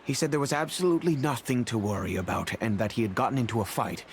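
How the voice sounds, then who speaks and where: An actor playing a fatherly middle-aged merchant who speaks in a measured, reflective, remorseful way, over faint music. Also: mid-range voice, a little hiss or hum, lightly acted. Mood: thoughtful. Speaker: wealthy patron and father figure. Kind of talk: video game voice acting. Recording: game audio with faint music.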